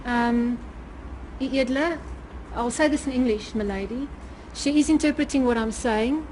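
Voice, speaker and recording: A woman's voice: on the high side, normal speed, darkish tone, poor audio. A South African woman, a native Afrikaans speaker with that accent, giving careful, polite formal testimony in court. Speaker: witness testifying. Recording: courtroom recording with background noise.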